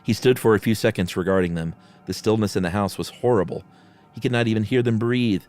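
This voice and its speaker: Male host, low voice, dramatic horror narration, casual asides, soft background music. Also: a little fast. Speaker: podcast host and story reader.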